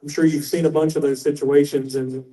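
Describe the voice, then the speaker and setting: A man moderates the panel and asks long, rambling questions in a relaxed way. His voice has a very dark, mellow tone and sits a little high for a man. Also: fairly quick, rough recording. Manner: rambling, conversational. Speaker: panel moderator, former radio host. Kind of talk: interview questions.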